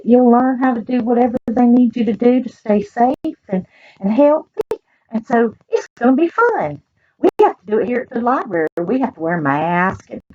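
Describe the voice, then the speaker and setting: A woman with a Southern US accent reading picture books aloud to children in an animated, expressive way, adding chatty asides. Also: mid-range voice, highs cut off. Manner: animated, expressive, talks directly to young listeners.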